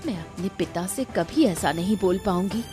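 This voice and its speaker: A woman's voice: lowish voice, normal speed, mellow, soft background music, close to an everyday voice. A woman playing a defiant, wronged young wife from a poor family in a cartoon moral story. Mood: pleading, firm.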